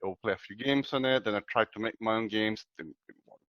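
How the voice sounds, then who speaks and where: A man from Chile in his thirties, talking in a casual interview as the guest, soft-spoken and hesitant with many fillers. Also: on the low side, fast, no room sound, dull audio. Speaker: guest computer scientist.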